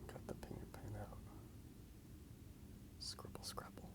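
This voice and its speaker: A man whispering softly in a breathy, gravelly voice, rambling casually and a little hesitantly in an ASMR style. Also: slow pace. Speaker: ASMR video creator. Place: outdoors in a park.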